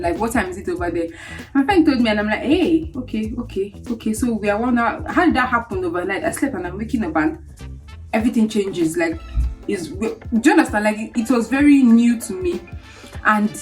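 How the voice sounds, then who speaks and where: A young woman with a Nigerian accent talks to her viewers in a casual, chatty storytelling style. Her voice sits at an average pitch for a woman, and she is animated, acting out her reactions with exclamations. Faint music plays behind her. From Lagos, Nigeria. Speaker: vlogger, international student.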